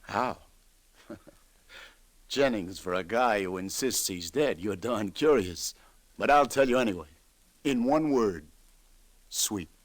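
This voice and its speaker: An actor playing a sympathetic, plain-spoken New York police lieutenant in a vintage radio drama, halting and earnest as he searches for words. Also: on the low side, lively intonation, dry recording. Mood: wry. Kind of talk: 1940s-50s radio drama acting.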